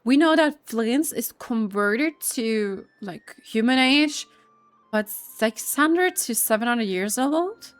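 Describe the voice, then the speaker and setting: Brief: young woman streamer, slow-paced, a bit high, gushy and giggly, soft game music under. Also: animated, smooth, no room sound. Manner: gushing, giggly, thinks aloud with fillers. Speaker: gaming streamer. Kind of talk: casual gameplay commentary. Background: faint game music.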